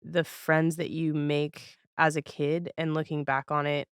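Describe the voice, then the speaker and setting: A woman's voice: sits low, flat delivery, measured pace, clean tone, no room sound, studio quality. A woman hosting a casual interview, reflective and affirming, speaking in an informal conversational way. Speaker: podcast host, interviewer.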